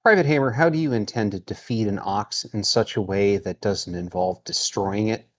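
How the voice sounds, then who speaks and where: Guy with lowish voice bantering in a tabletop game, dry and precise. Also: normal speed.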